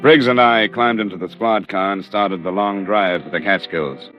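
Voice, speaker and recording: An actor playing a dry, persistent insurance investigator in an American hard-boiled radio drama, terse and matter-of-fact. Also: male voice, on the low side, normal speed, music in the background, close to an everyday voice. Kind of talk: 1950s hard-boiled radio detective acting. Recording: dull old radio recording.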